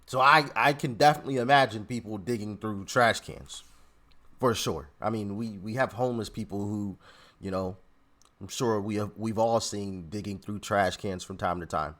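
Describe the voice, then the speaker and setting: A man's voice: lowish voice, normal speed, a bit bright. A man hosting a reaction video, commenting casually and animatedly, with exclamations and restarts.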